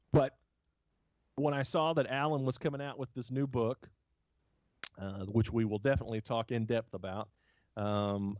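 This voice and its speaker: A man's voice: on the low side, darkish tone, no echo, highs cut off. A man hosting a casual podcast chat, rambling with many fillers and restarts. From United States. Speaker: podcast host.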